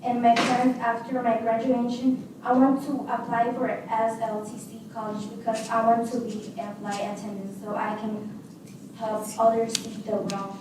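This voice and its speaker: A young woman from Honduras, a student speaking earnestly at a board meeting in slightly halting English. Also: on the high side, level tone. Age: young adult. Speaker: student, public commenter. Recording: echoey hall, far from mic, noise.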